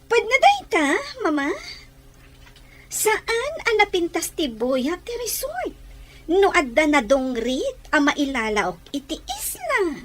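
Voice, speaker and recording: A woman's voice: very high-pitched, huge pitch swings, takes it slowly, full character voice. A woman playing a spoiled, haughty young rich daughter in a theatrical radio drama. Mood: haughty, eager.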